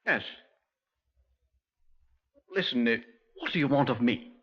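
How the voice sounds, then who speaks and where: A man's voice: mid-range voice, lively intonation, slow pace, breathy edge. A man playing a cocky, wary young crime suspect with slangy, insolent talk in a 1950s radio drama. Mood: guarded, insolent. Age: young adult. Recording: muffled 1950s radio recording.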